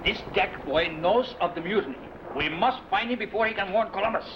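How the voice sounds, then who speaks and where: A man's voice: sits high, a little fast, close to an everyday voice. A man playing an urgent, commanding captain of mutineers in an old-time radio adventure. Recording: muffled, very noisy old radio recording.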